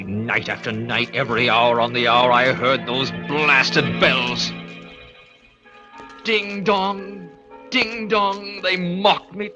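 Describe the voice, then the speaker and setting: A man's voice: medium pitch, natural voice. An actor in a 1940s radio drama playing a fevered, murderous man sliding into madness, with melodramatic delivery. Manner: melodramatic, swinging from hushed to frantic. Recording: old radio broadcast, organ music behind.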